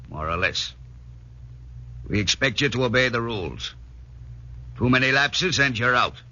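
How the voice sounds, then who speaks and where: Dull old 1940s radio sound carries a hard, raspy Irish sergeant major. He is sardonic and commanding, with a very sharp edge and swooping delivery. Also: medium pitch, heavily acted. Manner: sarcastic, commanding. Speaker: actor in a wartime radio drama.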